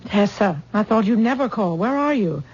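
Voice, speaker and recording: Actress as protective older mother-in-law, brisk and sensible, period radio sound. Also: mid-range voice, animated, a little mellow, lightly acted. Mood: guarded. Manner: brisk, no-nonsense. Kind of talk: 1970s radio acting. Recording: dull old radio broadcast, faint hiss.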